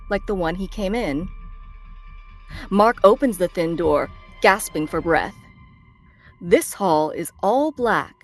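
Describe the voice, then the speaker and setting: A woman narrator reading screenplay stage directions as dramatic scripted narration. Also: medium pitch. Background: faint background music.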